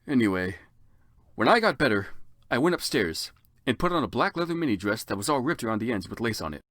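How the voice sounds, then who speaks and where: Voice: mid-range voice, big pitch swings, fast, fairly bright tone, no room sound, studio quality. A narrator giving a theatrical, mock-serious dramatic reading of a story, with comic asides. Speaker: narrator of a fan fiction reading.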